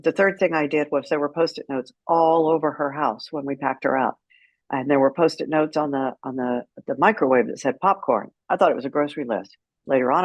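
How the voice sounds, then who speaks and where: A woman's voice: extremely low pitch, no echo. A middle-aged American woman speaks as an interview guest, candid and wry, telling stories conversationally. The audio is a little dull and very dry, with hardly any room around the voice. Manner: candid, wry, animated retelling with quoted dialogue. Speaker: interview guest, caregiver advocate. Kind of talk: conversational storytelling.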